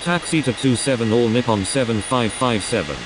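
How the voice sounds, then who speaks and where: A man's voice: medium pitch, brisk, background noise, natural voice. A man voicing a businesslike airline pilot in a flight game, giving scripted radio calls.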